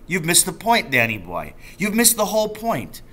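Man reading as a lively, roguish storybook dad. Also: high-pitched, normal speed, pitched above the performer's own voice, exaggerated voice. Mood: excited, relishing. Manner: relishing, emphatic storytelling. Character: enthusiastic, roguish father, a proud poacher telling tales.

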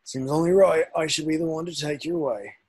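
A man playing a big, kindly, sentimental half-giant with a husky voice in a table read. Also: a little high, brisk, a little mellow. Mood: tender. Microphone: video call.

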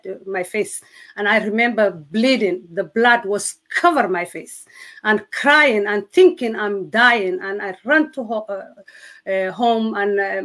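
A woman from Somalia with a Somali accent, speaking English as a second language, giving her personal testimony in an interview with earnest, halting speech as she searches for words. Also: medium pitch. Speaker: guest sharing testimony.

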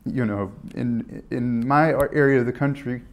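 A man, a physician on a panel, explaining in a halting way with many restarts, in a conference room. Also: mid-range voice.

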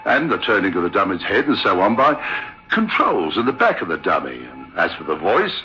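A man's voice: low voice, very animated, fairly quick, over music. An actor playing an affable, sceptical theatre manager, chatty and hesitant, telling his tale in old-time radio drama style. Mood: incredulous, chatty. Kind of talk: old-time radio drama, conversational first-person storytelling. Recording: dull, lo-fi old radio recording.

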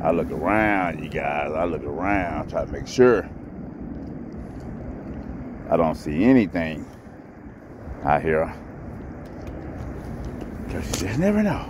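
Man, very deep voice, casual fishing vlog, outdoors by a pond, faint background noise. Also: very animated, takes it slowly, pauses often. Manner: chatty, talks to viewers while fishing. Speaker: fishing vlogger. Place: grassy pond bank outdoors. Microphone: head-mounted camera.

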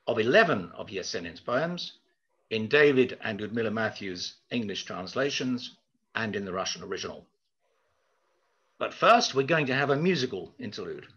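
Older male lecturer with a husky, bright voice. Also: lowish voice. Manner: measured and formal, with hesitant pauses. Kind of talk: prepared lecture. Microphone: video call. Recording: slightly dull video call audio.

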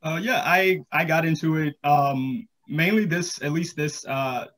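A man's voice: on the high side, unhurried, fairly bright tone. An American man in his thirties from North Carolina, talking casually as a guest on a video-call panel. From Charlotte, North Carolina, US. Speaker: podcast creator and guest panelist. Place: home room, indoors, video call.

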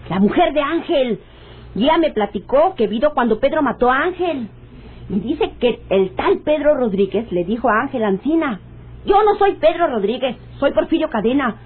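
An actress playing a desperate, sharp-tongued rural cousin with a Mexican accent, pleading in melodramatic radionovela style. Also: female voice, high-pitched, lively intonation, moderate pace, husky. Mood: urgent, exasperated. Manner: insistent, imploring, melodramatic. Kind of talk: radionovela acting. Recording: dull lo-fi old radio drama recording.

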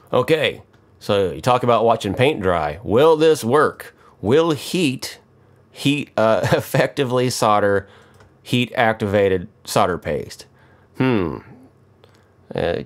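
A man's voice: lowish voice, animated, slow pace, long pauses. A middle-aged American man giving casual, off-the-cuff how-to commentary in a wry, joking, self-mocking way. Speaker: electronics hobbyist, video host.

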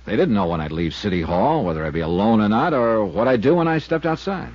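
A man's voice: fairly low pitch, animated, fast talker, darkish tone, no room sound. An actor playing a wisecracking male insurance investigator who narrates his own case in a dry, wry, matter-of-fact way, in a 1950s radio drama. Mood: guarded. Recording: dull old radio transcription.

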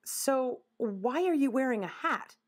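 A woman plays a young storybook boy who is blunt and protective. The pitch is high and very lively. He sounds skeptical and ready to argue. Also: a little slow. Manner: blunt, argumentative. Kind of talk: children's storybook character voice.

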